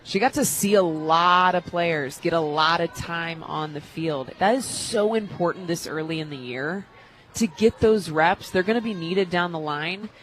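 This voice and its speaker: An American woman in her twenties acts as color analyst on a softball radio broadcast. Her delivery is conversational and explanatory, from a booth at the stadium. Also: on the low side, a little slow, slight background noise, poor audio. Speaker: color analyst, former college player.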